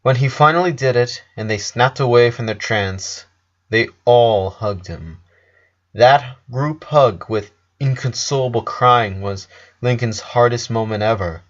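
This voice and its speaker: A man reading a scripted story as narrator, in a measured way with pauses between phrases. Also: mid-range voice. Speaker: fan fiction narrator. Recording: dull lo-fi voice recording.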